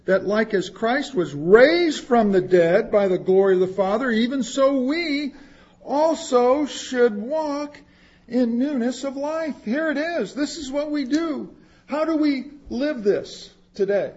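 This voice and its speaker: A man's voice: extremely high pitch, animated, slow pace, darkish tone, highs cut off. A man preaching a sermon, reading scripture aloud and explaining it in plain words, with asides to the congregation. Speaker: pastor.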